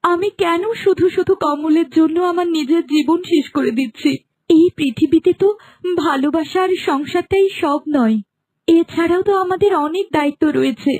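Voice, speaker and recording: A woman's voice: very high-pitched, normal speed, a little mellow. A woman playing a devoted, heartbroken young woman in a melodramatic cartoon, with a plaintive, dramatic delivery. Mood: resolved. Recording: faint music under the voice.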